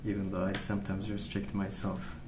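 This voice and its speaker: A man speaking softly in a hushed, unhurried ASMR ramble, musing as he goes. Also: low-pitched, monotone, reverberant, background noise. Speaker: ASMR video host. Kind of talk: soft-spoken ASMR rambling while colouring.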